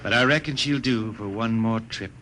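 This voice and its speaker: A man's voice: on the low side, normal speed, close to an everyday voice. An American actor playing a cocky, hard-drinking, folksy jet man and singer in a 1950s radio drama, with a sly rustic drawl. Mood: sly, defiant. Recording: old radio broadcast with music underneath.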